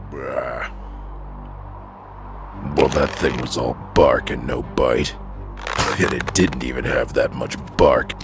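A man's voice: extremely low pitch, fairly even intonation, slow pace, long pauses, full character voice. A man playing a big, cocky, easygoing soldier in a video game cutscene, with a loose, slangy delivery. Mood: cocky, dry. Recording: game audio under loud music.

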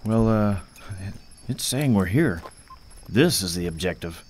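A man's voice: lowish voice, lively intonation, a little slow, a little mellow. A man playing a casual, slightly unsure army tech sergeant in an audio drama, explaining things informally. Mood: puzzled. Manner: hesitant, informal technical explaining.

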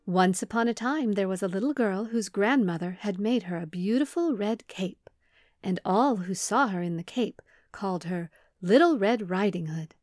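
A woman's voice: mid-range voice, expressive, normal speed, darkish tone, dry recording, clean studio sound, faint music behind. A woman in her thirties, a children's storyteller, narrating a scripted tale expressively.

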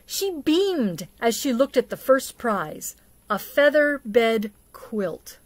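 A middle-aged woman reads and discusses a picture book for young children, expressively and deliberately, with dramatic emphasis. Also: on the high side, sing-song. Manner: deliberate, asks the listener questions, dramatic hushes and emphasis. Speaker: storyteller for a church children's program. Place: small indoor setup before a plain backdrop.

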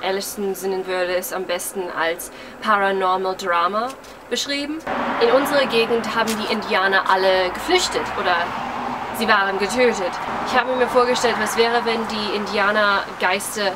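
A woman's voice: mid-range voice, fairly even intonation, moderate pace. Hesitant young woman vlogging outdoors, noisy background. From Germany, living in New York. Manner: hesitant, searching for words, self-deprecating. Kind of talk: casual, rambling vlog chat to camera. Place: outdoors on a porch among trees. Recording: outdoor recording with clear ambient and traffic noise. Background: outdoor ambience, passing cars.

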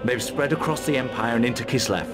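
An actor playing a stern, resolute mercenary commander in a fantasy game, speaking in a firm, terse military manner. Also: male voice, medium pitch, fast, natural voice. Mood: grim. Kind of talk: fantasy video game cutscene acting. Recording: loud music under the voice.

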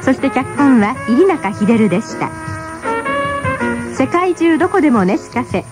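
A female radio announcer delivers scripted notices. Her voice is high and lively in its rise and fall, with music clearly playing behind it. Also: unhurried.

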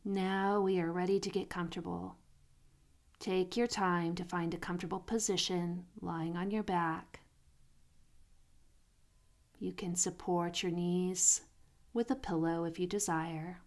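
A woman guiding a sleep meditation in a soothing, unhurried manner, pausing between phrases, with soft, breathy phrase endings. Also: lowish voice, steady tone. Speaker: meditation guide.

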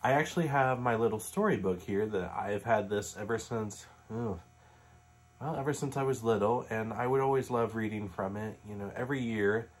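A man in his late twenties, sitting on a living room couch and reading a Christmas story aloud in a gentle, casual way. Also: lowish voice, normal speed, husky. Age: late 20s. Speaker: storybook reader. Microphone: webcam facing him at close range.

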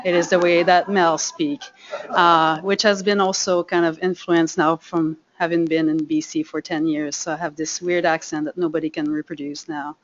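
A woman's voice: sits low, level tone, normal speed, muffled recording. A woman from Quebec with a French accent, giving a chatty, self-deprecating talk at a farming conference, full of ums and restarts. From Quebec, Canada. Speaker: conference speaker, seed farmer. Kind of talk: live conference presentation. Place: conference room.

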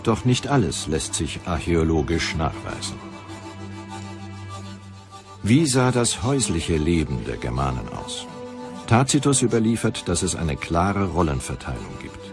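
A man narrating a documentary from a script in a measured, deliberate way. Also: low-pitched, sing-song. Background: music.

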